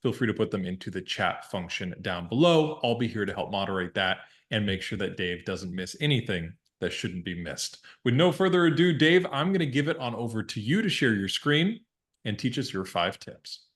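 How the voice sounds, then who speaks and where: An energetic man opening a webinar like an emcee, his voice sitting fairly low. He keeps the welcome lively and chatty before introducing the speaker. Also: normal speed. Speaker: webinar host. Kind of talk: upbeat webinar hosting.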